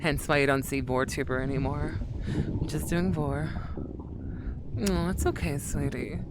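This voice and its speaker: A woman's voice: sits low, steady tone, unhurried. Chatty streamer woman with fan noise. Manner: rambling, affectionate, calls viewers sweetie. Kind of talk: casual chat with viewers.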